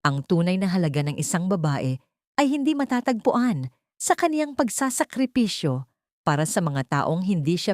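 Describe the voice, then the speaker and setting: Low-voiced woman narrating a heartfelt story. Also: darkish tone, dry recording, studio quality. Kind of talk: scripted dramatic story narration.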